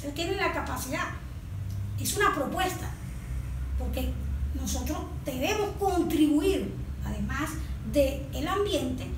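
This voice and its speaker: A woman with a Venezuelan accent speaks in a high voice, explaining things point by point with emphasis. She sounds like a consultant laying out a proposal. The recording is very reverberant and picked up from a distance, with clear background noise. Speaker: environmental consultant, interview guest.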